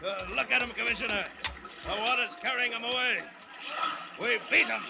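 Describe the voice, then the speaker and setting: An actor playing a cocksure, scornful plantation boss who barks orders and gloats in 1940s radio style. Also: male voice, extremely high pitch, fairly even intonation, moderate pace, gravelly, very noisy, exaggerated voice. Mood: cocksure, gloating. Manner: barking orders, gloating, forceful. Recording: vintage radio recording, crowd clamor behind.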